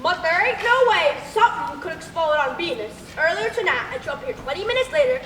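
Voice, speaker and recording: A woman's voice: very high voice, lively intonation, brisk, a bit bright, far from the mic. A woman playing a blustery country farmer man with oddly genteel words in a comic stage radio play. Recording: noisy, echoey stage recording from afar.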